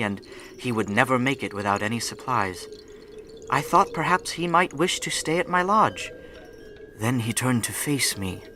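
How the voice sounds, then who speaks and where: A sharp-voiced, haunted lodge keeper. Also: male voice, mid-range voice, slight background noise. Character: awed, fearful male mountain lodge keeper. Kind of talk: dramatic storytelling in radio adventure.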